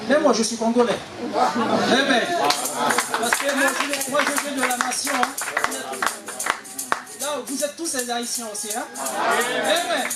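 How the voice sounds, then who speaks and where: A man's voice: very high-pitched, a little slow, long pauses, roomy sound, loud music behind the voice, a loud crowd. A man preaching as a guest, exhorting the congregation and punctuating his phrases with amens. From Haiti. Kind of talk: sermon with call and response.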